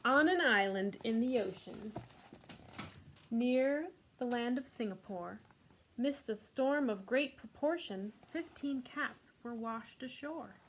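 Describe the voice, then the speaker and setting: A woman's voice: fairly high pitch, slow pace, a little hiss or hum, rough recording. A woman hosting a children's storytime, reading a picture book expressively with casual asides. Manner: expressive, voices the characters' lines.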